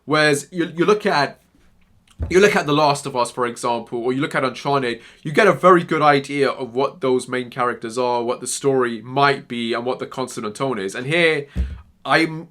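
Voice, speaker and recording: A man's voice: medium pitch, normal speed, a bit bright. A young man giving rambling, emphatic casual commentary, often repeating himself as he talks. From Germany-born Iranian, raised in England. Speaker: gaming commentator.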